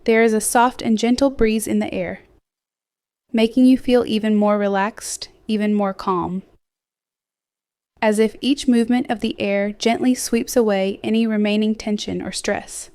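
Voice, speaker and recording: A woman gently guiding a sleep hypnosis meditation in a slow, soothing, scripted delivery with softly trailing phrases. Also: mid-range voice, steady tone, clean tone, no echo. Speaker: hypnosis guide.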